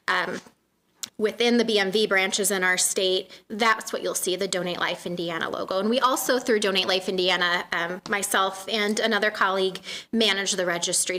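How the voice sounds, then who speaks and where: A soft-spoken woman in her thirties giving a presentation to a community meeting in a room, with frequent "um" fillers. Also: mid-range voice. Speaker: guest speaker from a donation nonprofit.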